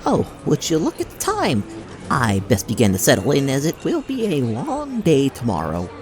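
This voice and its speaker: An actor playing a small, polite gnome merchant with a hesitant, self-effacing manner in a fantasy audio drama. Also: male voice, medium pitch, huge pitch swings, normal speed, music in the background.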